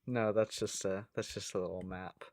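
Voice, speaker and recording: A man's voice: low voice, steady tone, normal speed, vocal fry, darkish tone, dry recording, studio quality. A man co-hosting a comedic podcast, chatting casually and easily amused. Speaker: podcast co-host.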